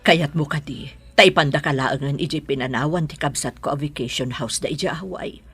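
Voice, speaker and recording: A middle-aged woman with a Filipino accent playing a gentle, worried mother in an audio drama, with a husky voice. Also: deep voice, brisk, exaggerated voice. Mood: gentle advice. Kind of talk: Ilocano audio drama acting.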